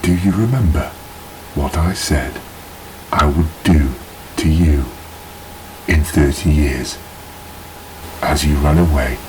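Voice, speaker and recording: A man playing a sinister supernatural entity in a horror radio play, speaking with menacing, taunting pauses. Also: very deep voice, darkish tone. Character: sinister male supernatural entity phoning in to torment. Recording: noisy, hissy phone-in line.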